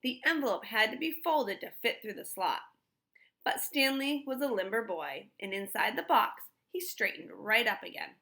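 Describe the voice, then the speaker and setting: A woman reading a children's storybook aloud in an expressive style. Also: fairly high pitch, moderate pace. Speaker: storybook reader.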